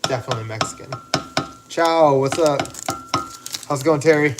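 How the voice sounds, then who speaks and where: A man's voice: a little high, moderate pace, noisy background. Joking man hosting a kitchen stream. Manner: joking, rambling, corrects himself mid-sentence. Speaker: cooking stream host. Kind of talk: casual live cooking chat. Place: home kitchen. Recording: kitchen clatter behind. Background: dishes and pots clanking.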